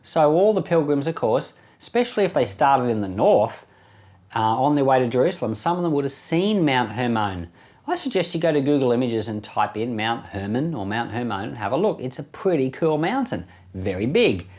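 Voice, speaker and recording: A man's voice: mid-range voice, very animated, normal speed, darkish tone. Middle-aged man, informal Bible teacher. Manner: conversational, explanatory, repeats phrases for emphasis. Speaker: pastor and Bible podcast host.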